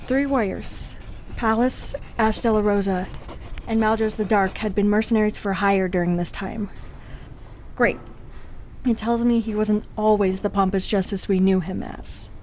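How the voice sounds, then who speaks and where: A woman's voice: medium pitch, fairly even intonation, normal speed, a little mellow, a little hiss or hum, lightly acted. A woman playing a studious, determined and wry young researcher in a radio play. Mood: musing, wry. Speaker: voice actor in an audio drama. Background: background music.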